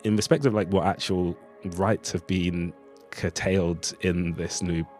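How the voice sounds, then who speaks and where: A man's voice: sits low, fairly even intonation, unhurried, darkish tone, soft background music. A man giving a thoughtful, discursive interview as a writer, speaking in a reflective conversational way. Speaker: writer of a radio play, interviewee.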